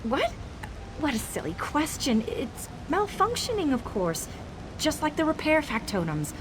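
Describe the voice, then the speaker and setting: A woman voicing a flustered but self-assured repair technician in a video game, brisk, defensive and insistent. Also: high-pitched, huge pitch swings, background noise, full character voice. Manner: defensive, brisk, covering doubt with confidence. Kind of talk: video game character dialogue.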